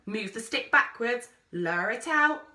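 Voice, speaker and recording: A woman's voice: fairly high pitch, expressive, takes it slowly, bright, forceful tone, recorded from a distance, voice pushed up, exaggerated voice. A woman voicing a brusque, wry explorer man with a commanding, matter-of-fact manner in a storybook. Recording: roomy, echoey sound.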